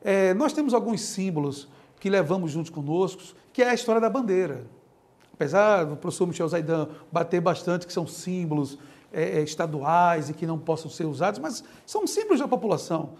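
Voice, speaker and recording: A man from Pernambuco with a Pernambuco accent talks through his answers as an interview guest. His voice sits high, and he lays out his points eagerly, with an occasional laugh. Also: brisk. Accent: Pernambuco Portuguese. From Pernambuco, Brazil. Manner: animated, laughs while making points. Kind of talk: explanatory talk-show interview.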